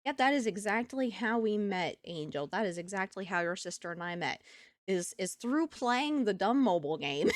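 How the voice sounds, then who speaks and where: Lively, animated woman streamer. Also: medium pitch, a little slow, dry recording, studio quality. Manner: animated storytelling, laughs often. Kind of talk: casual chat with live chat. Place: home streaming setup.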